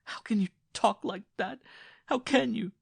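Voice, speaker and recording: A terrified peasant wife in a storybook reading, pleading in a high, strained voice that trembles with sobs, performed by a man reading aloud. The sound is close and dry, with no room around it. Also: animated, a little fast, fairly bright tone, slightly rough, no echo. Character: frightened, sobbing peasant wife, adult woman.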